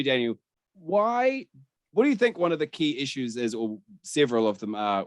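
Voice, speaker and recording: A man's voice: fairly low pitch, no echo. New man hosting, close dry sound. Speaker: podcast host. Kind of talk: casual podcast chat about games and film.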